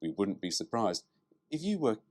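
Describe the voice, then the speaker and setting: A man's voice: on the low side, sing-song, moderate pace, a little mellow. A man reading a brochure aloud as a presenter, dropping in cheeky, irreverent, sweary asides.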